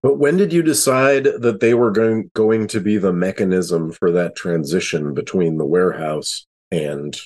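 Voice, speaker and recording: A man with a fairly low, husky voice puts questions to others on a podcast panel. The manner is polite and inquisitive, in casual conversation. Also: normal speed, hardly any pauses, darkish tone. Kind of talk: casual interview-like chat.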